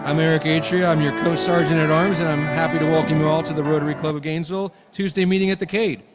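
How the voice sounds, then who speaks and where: A man's voice: a little high, fairly even intonation, fast talker, over loud music. A man hosting a club meeting, making announcements into a microphone. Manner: upbeat, formal emcee. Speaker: meeting host, sergeant at arms. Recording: long reverb in a hall.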